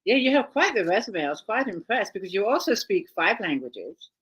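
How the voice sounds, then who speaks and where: A woman's voice: medium pitch, big pitch swings, a little fast, a bit bright, husky. A woman hosting a live video chat with an author, upbeat and chatty, greeting viewers by name and asking questions. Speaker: host. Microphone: video call.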